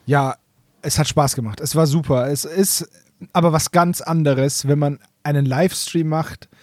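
Higher-voiced man in casual podcast chat. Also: fairly even intonation, moderate pace, darkish tone, no echo, studio quality. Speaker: podcast co-host.

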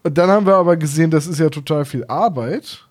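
Higher-voiced man, casual podcast co-host. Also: quick pace, darkish tone, breathy edge. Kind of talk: casual chat.

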